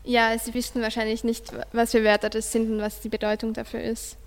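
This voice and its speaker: A woman's voice: a little high, monotone, a little fast, long reverb tail. A teenage schoolgirl giving examples as a guest in a student radio discussion. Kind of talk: answering with examples.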